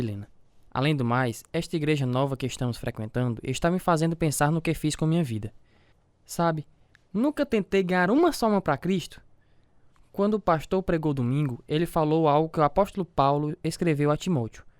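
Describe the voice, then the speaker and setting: A man's voice: on the high side, lively intonation, a little fast, darkish tone, smooth, dry recording, studio quality, lightly acted. A male actor with a Brazilian accent playing an earnest, devout boxer and young father in a reflective radio drama, telling his own story. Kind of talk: radio drama acting with first-person narration. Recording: guitar music under the voice.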